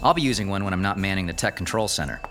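A man's voice: deep voice, expressive, rapid-fire, noisy background. An actor playing a flippant young ghost-hunting tech guy with a casual, wisecracking manner in a radio horror drama. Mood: upbeat, businesslike. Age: young adult.

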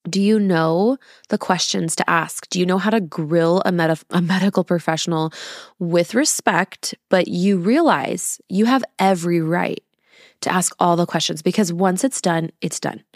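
A woman in her thirties hosting a podcast in a casual, confiding way, using emphatic rhetorical questions and drawn-out words. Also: fairly low pitch, no room sound, studio quality. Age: 30s. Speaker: podcast host.

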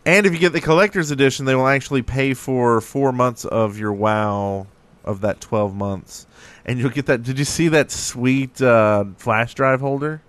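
A man's voice: mid-range voice, moderate pace, hardly any pauses, smooth. A man co-hosting a gaming podcast, chatting informally about news with a joking manner. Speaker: podcast co-host. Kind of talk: casual group chat about gaming news.

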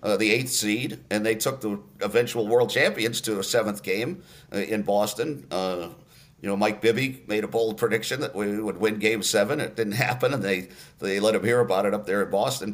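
An older man, a radio play-by-play announcer, telling stories in a relaxed interview with many fillers and restarts. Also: fairly low pitch.

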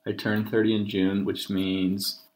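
A man's voice: on the low side, level tone, unhurried, darkish tone. A thirty-year-old man chatting casually and jokingly at home as he hosts a recorded conversation with his partner. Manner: joking, teasing. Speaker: podcast host interviewing his partner. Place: kitchen, at the table during a meal. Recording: roomy echoing home recording.

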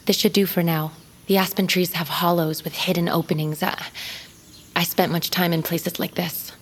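A woman's voice: on the low side, little pitch movement, normal speed, husky. A woman playing a loyal, wary bird-folk rescuer in a fantasy audio drama, earnest and guarded. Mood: grave, protective.

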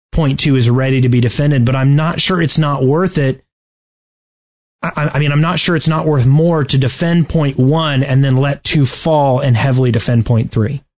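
A man co-hosting a podcast, talking through game strategy casually and haltingly as he thinks aloud. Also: mid-range voice, darkish tone. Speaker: podcast host.

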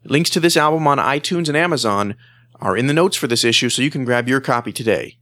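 A man's voice: medium pitch, fast talker, pushed and bright, dry recording, clean studio sound. A man hosting a music magazine show, speaking as presenter and interviewer. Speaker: host and interviewer.

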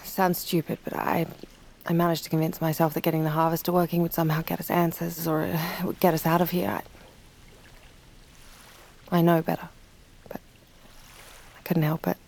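A woman's voice: low voice, level tone, moderate pace, vocal fry, pauses often, a little mellow, a little hiss or hum. An actress playing a weary, anxious woman who confides hesitantly, in a naturalistic audio drama. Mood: anxious, confiding. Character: weary, anxious pregnant woman seeking reassurance.

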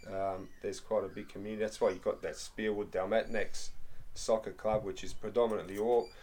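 Relaxed man, rambling explanations. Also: fairly low pitch, brisk. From Australia. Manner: rambling, explains with many fillers and restarts. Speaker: interviewee. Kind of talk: casual conversation.

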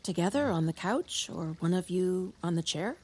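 Spokojna terapeutka, niski, ciemny głos. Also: female voice, fairly low pitch, expressive, slightly rough. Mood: reassuring. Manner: measured, gently guiding, neutral. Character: calm, reassuring female couples therapist. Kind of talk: naturalistic audio drama acting.

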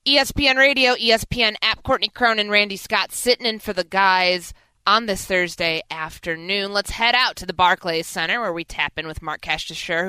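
A woman's voice: mid-range voice, pushed and bright, clean tone, no room sound, rough recording. A woman hosting a sports radio talk show with a polished broadcast delivery. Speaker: radio host.

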